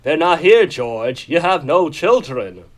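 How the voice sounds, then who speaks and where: A man playing an earnest, gentle guardian angel in a comic radio play, explaining things in a solemn, patient way. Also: on the high side, sing-song, slow pace.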